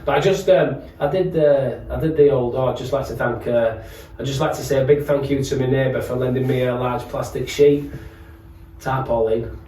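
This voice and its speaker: Male podcast guest, medium pitch, jokey and sweary anecdote-teller, recorded off-mic in an echoey room. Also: fairly even intonation, moderate pace, warm tone. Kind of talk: casual chat, telling anecdotes. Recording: roomy, distant mic with faint noise.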